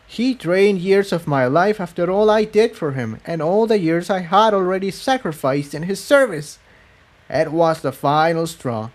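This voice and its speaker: A man voicing a grave, weary female pony defector in an audiobook story, speaking in measured, regretful explanations. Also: on the high side. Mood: bitter, weary.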